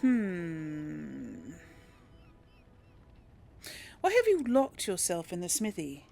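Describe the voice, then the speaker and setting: Slow-paced, lively woman gamer. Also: on the low side, gravelly. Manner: chatty, reacting aloud. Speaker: gaming host, role player. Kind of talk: casual let's play commentary with in-character dialogue. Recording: game music behind the voice.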